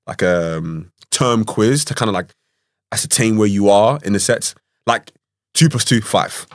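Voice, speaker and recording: A young man co-hosts a casual podcast. His voice sits low and he tells his story in a chatty, animated way, full of "like" and "you know what I mean". The sound is close and dry, with no room around it. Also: unhurried, no echo. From Ireland. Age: 20s. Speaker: podcast co-host.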